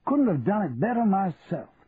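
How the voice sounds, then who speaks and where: A man voicing a genial, silly upper-class English gentleman for an audiobook, plummy and spluttering. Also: high voice, big pitch swings, normal speed, breathy edge, dry recording, voice pushed up, heavily acted. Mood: jovial. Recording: muffled narrow-band audio with hiss.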